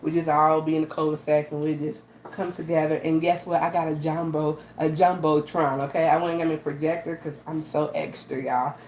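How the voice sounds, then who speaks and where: A woman with a raspy voice from allergies, hosting a casual live cooking demo and chatting to viewers with frequent "okay?" asides. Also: low-pitched, level tone, fairly quick, hardly any pauses, darkish tone. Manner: chatty, repeats "okay?" to her audience. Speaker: host of a live cooking stream. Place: home kitchen. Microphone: camera she adjusts by hand. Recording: roomy echo, faint background noise.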